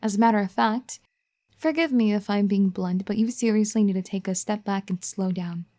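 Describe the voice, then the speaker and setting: A woman's voice: medium pitch, moderate pace, close to an everyday voice. A woman playing a bossy, caring young wife. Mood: tender, pleading. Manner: bossy teasing scolding that turns gentle and pleading. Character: firm, caring young wife scolding an overworking spouse. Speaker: ASMR roleplay performer. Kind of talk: audio roleplay addressed to the listener.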